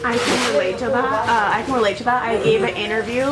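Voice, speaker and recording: A woman's voice: on the high side, fairly even intonation, quick pace, loud music behind the voice. A young adult woman, a student interviewing a minister, speaking in a casual, chatty way. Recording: loud background noise.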